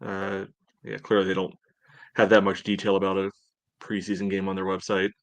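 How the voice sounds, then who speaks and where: A man's voice: low voice, monotone, fairly quick, no echo. A man co-hosting a casual sports talk show, hesitant and thinking aloud as he goes. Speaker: sports podcast co-host.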